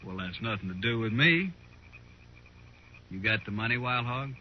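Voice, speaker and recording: A muffled old radio recording with noise behind it carries a lowish male voice. An actor plays a nervous, blustering frontiersman in a western drama, folksy and pushy in his talk. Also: animated, moderate pace, close to an everyday voice. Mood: wheedling, indignant. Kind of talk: 1950s radio western acting.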